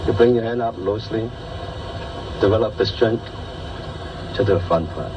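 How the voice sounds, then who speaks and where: A man's voice: fairly low pitch, moderate pace, muffled recording, background noise. A man demonstrating martial arts and explaining each movement in short, instructive commands. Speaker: kung fu instructor.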